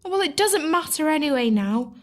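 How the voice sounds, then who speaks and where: A woman's voice: high voice, animated, moderate pace. A woman playing a lovelorn, earnest young servant girl in an audio pantomime, sighing and plaintive. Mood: dreamy.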